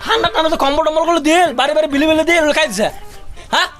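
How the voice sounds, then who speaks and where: A man's voice: extremely high pitch, racing, a bit bright, faint music behind. A man playing a combative, scornful village political hanger-on, firing challenging questions in a northern Bengali dialect. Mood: indignant. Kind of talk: comedy skit acting in village dialect.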